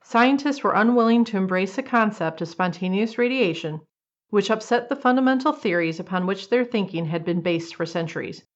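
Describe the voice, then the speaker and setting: Female radio drama narrator, scripted storytelling, medium pitch, slightly lo-fi dull sound. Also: fairly quick.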